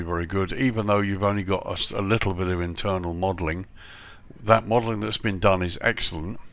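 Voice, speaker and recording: Deep gravelly man with a cold. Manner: relaxed, repeats praise, frequent ums. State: has a cold, slightly hoarse. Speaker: flight simulator reviewer. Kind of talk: casual scenery review voice-over.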